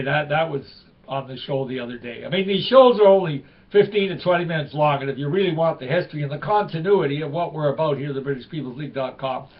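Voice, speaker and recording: Older canadian host, talks in meandering asides, muffled lo-fi audio. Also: male voice, a little high. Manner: meandering, self-interrupting. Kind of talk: rambling solo commentary.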